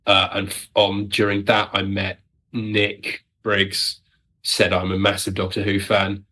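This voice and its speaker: A man's voice: on the low side, monotone, measured pace, bright, forceful tone. A British man chatting casually as an interview guest, self-deprecating and wry, talking as a writer.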